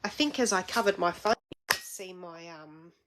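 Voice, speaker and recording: A woman's voice: on the low side, moderate pace, noisy background. An Australian woman with a blocked-up, congested nose, chatting casually as she hosts a live crafting stream, rambling with many fillers.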